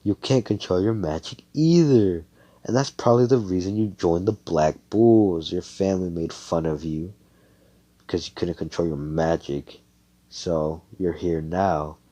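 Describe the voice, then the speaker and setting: Man acting a cocky, deep-voiced young mage. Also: a little slow, dark tone. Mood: smug. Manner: slangy, smug. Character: cocky, blunt, foul-mouthed young male squad mage.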